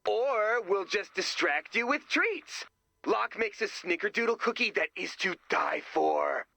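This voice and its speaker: A man's voice: high voice, animated, measured pace, creaky, sharp tone, no echo, full character voice. An actor playing an eager, smug, nerdy young male sidekick in a comic video game style. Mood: chipper, curious. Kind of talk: animated video game voice acting.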